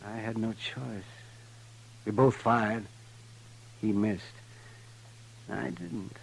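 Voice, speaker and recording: Wry, weary frontier doctor, old radio. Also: male voice, on the low side, steady tone, vocal fry, exaggerated voice. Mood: weary, confessional. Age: middle-aged. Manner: grumbling, musing, dramatic pauses. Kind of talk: old-time radio western drama acting. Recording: noisy vintage 1950s radio recording.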